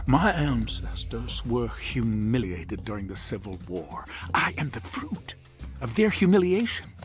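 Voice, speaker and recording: A cruel game villain with a mid-pitched voice. He speaks slowly and pompously, full of menace and contempt. Music sits faintly behind him. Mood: cold, mocking, then fervent, gloating. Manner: menacing, pompous, deliberate sneering speeches. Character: cruel, contemptuous American collaborator commander, a fanatical villain. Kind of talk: video game villain monologue.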